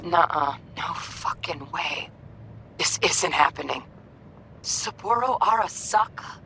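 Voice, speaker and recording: An actress playing a curt, cautious, bookish hacker in a video game. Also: female voice, lowish voice, animated, takes it slowly, gravelly, bright, forceful tone, slight background noise, exaggerated voice. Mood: rattled. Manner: curt, no-nonsense.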